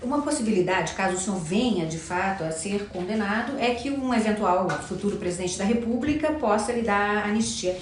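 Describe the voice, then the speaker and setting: A woman reporter presses her interviewee with brisk, persistent follow-up questions. Her voice sits at a middle pitch for a woman. It rings out in a very echoey room with faint noise behind. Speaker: news agency reporter.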